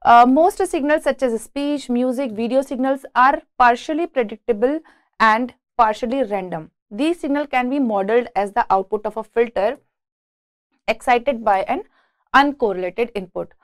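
A woman lecturer with an Indian accent speaks in a fairly high voice. She reads from and explains technical slides as a teacher does in class. The sound is dry, with very little room in it. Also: moderate pace, no room sound. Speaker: engineering lecturer. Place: indoor lecture room at a presentation board.

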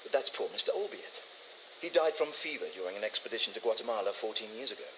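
A well-bred gentleman of a Victorian club, uneasy and a little hesitant. His formal speech sits fairly low yet has a bright edge, with very varied intonation. It is video game character dialogue. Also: lively intonation, fast talker, a little hiss or hum, low-quality recording. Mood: recollecting.

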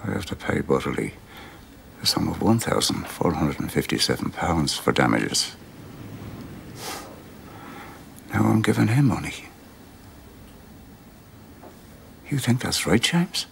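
An actor in his sixties with a Dublin accent playing a grieving, bitter older father, intense, halting and accusing, in a television drama. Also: low-pitched, very animated, creaky, slight background noise, exaggerated voice. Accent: Dublin Irish.

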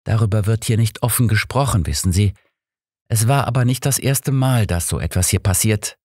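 A man's voice: fairly low pitch, normal speed, darkish tone, husky, dry recording, studio quality, pitched above the performer's own voice. A man voicing a chatty, conspiratorial young mother in an audiobook. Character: gossipy, secretive working woman, young mother.

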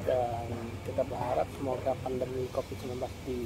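A man's voice: mid-range voice, little pitch movement, a little fast, vocal fry. A middle-aged man filming a casual food review vlog at a cafe table, talking to viewers in a friendly, chatty way and calling them "brother". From Indonesia. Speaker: food vlogger. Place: open-air cafe, evening. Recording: noisy cafe phone recording.

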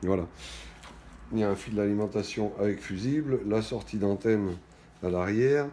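A middle-aged man giving an unscripted hands-on product demonstration, speaking in halting, stop-and-go phrases with trailing pauses. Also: lowish voice, warm tone, slight background noise. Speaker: product presenter. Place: indoors at a wooden table in a small shop or office.

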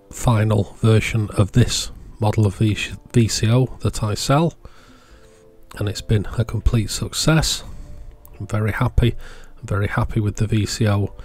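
A man with a fairly low voice presents a hobby electronics showcase. He explains things in a casual, plain-spoken way. Faint music plays behind his voice. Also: a little mellow, husky. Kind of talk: casual product showcase voice-over.

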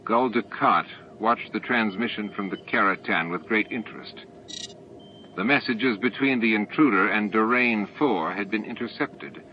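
A man's voice: lowish voice, moderate pace, a little hiss or hum. A man reading a novel aloud as an audiobook narrator, in scripted storytelling style. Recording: dull lo-fi tape audio with music. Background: added music and sound effects.

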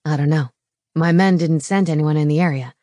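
A woman voicing a gruff male shapeshifter pack leader in an audiobook, grim and blunt. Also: deep voice, fairly quick, dark tone, no echo, clean studio sound, voice pushed down, lightly acted.

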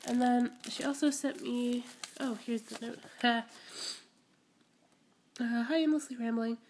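A young woman with a congested, cold-stricken voice sitting a little high in pitch. She rambles and gushes happily over little toys. The phone recording is full of crinkling plastic and handling noise. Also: steady tone, measured pace, darkish tone. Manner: rambling, giggly, gushing over small items. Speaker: squishy collector vlogger. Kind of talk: casual unboxing chat. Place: indoors at home, camera pointed at a table.

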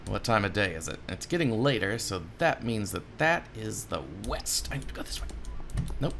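Man, thirties, creaky voice, casual muttered gameplay commentary, music behind. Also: on the low side, very animated, moderate pace. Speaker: video game streamer voicing over a game. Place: small home room. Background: game music.

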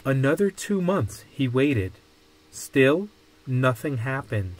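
A man reading a children's picture book aloud with deliberate, dramatic phrasing. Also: mid-range voice, sing-song, rough recording. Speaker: storybook reader.

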